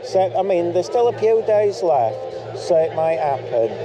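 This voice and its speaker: A man's voice: sits high, big pitch swings, a little slow, over music. A man from the north of England with a cold, chatting casually and matter-of-factly while filming on the street. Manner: chatty, matter-of-fact, unbothered. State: has a cold. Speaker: street filmer running his own channel. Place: outdoors in a city street at a protest. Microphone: body-worn action camera mic. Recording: noisy outdoor action-cam audio.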